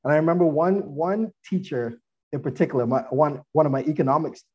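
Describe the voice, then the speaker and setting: A man's voice: fairly high pitch, fairly quick, breathy edge, highs cut off. A man being interviewed on a podcast, telling earnest stories about his upbringing and laughing now and then. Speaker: guest, former athlete and attorney.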